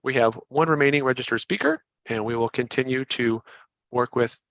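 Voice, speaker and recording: Polite hearing moderator, dry sound. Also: male voice, medium pitch, a little fast, creaky, fairly bright tone, dry recording, muffled recording. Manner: polite, procedural. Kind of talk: formal moderation.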